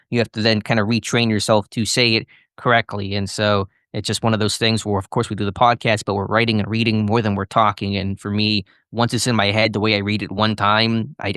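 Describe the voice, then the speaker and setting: Fluent American man, lowish dry-miked voice. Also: a bit bright, no echo. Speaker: sports podcast co-host. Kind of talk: conversational sports analysis.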